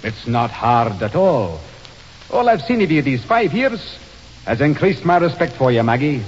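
An actor playing a humourless, ambitious, honourable young Scotsman in a stage drama, speaking in an earnest, stiff, declamatory way with a husky voice. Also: medium pitch, big pitch swings, a little slow, slight background noise. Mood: stiff, dutiful. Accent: Scottish. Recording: dull, reverberant hall recording.

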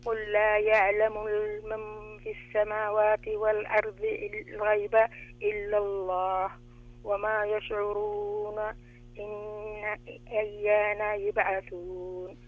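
A woman calling in to a radio program, reciting verses slowly and carefully over a muffled phone line. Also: medium pitch, little pitch movement. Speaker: caller.

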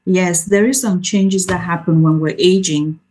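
A soft-spoken woman from Cameroon, a researcher giving an informal talk over a video call. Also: fairly low pitch, fairly even intonation, a little slow. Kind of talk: informal presentation.

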